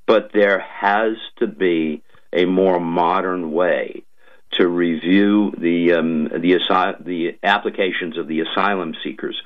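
A man, a lawmaker guest on a radio show, speaking slowly with many ums and uhs. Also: sits low, steady tone. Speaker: state legislator guest. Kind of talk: radio interview, policy talk. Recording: muffled lo-fi radio audio.

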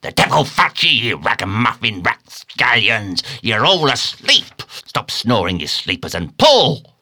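Whaleboat mate played by a male reader, drawling, coaxing then scolding, from hush to bellow, very animated audiobook character. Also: lowish voice, measured pace, heavily acted. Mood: scolding, exasperated. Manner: drawling, swinging from soothing whispers to loud shouts.

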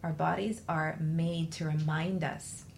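A woman with a low, gravelly-edged voice hosts a conversational radio show. She talks in a reflective, explanatory way, checking in as she goes. A faint noise sits under the recording. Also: level tone, measured pace. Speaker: talk show host.

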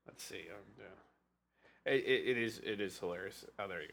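A man's voice: on the low side, brisk, gravelly, a bit bright. A man chatting casually on a podcast, dropping in short, dry remarks. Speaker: podcast co-host.

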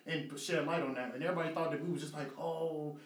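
A man in his twenties, the interviewer in a casual chat, telling a story in a relaxed, conversational way. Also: a little high, fast. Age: 20s. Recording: echoey room, slightly distant mic.

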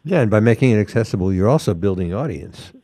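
A man hosting a conversational interview in a mellow, relaxed voice. It is a little low in pitch, and the sound around it is very dry. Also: fast, no room sound. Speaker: interview host.

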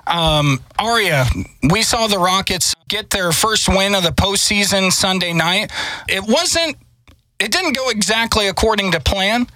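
A man's voice: sits high, measured pace, pushed and bright, no room sound, low-quality recording. A man hosting a show and interviewing a guest, with upbeat, announcer-like introductions. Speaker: radio show host.

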